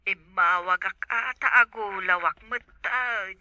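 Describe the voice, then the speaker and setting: Slow, dizzy mother on the phone played by an actress. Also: medium pitch, pushed and bright, no room sound. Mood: weakly pleading. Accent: Ilocano. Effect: telephone filter. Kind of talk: Ilocano comedy drama acting. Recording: thin, muffled telephone line.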